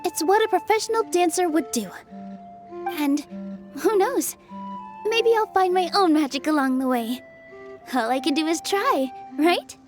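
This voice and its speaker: A woman playing a gentle, earnest young dancer turned forest fairy in a video game, speaking politely. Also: very high-pitched, lively intonation, measured pace, pauses often. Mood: nervous, hopeful. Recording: music playing behind the voice.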